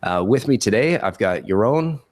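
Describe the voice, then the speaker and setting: A man hosting a webinar podcast in a casual, chummy way, his voice raspy from a cold. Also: on the low side, lively intonation, moderate pace, darkish tone. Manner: informal, chummy address. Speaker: podcast host.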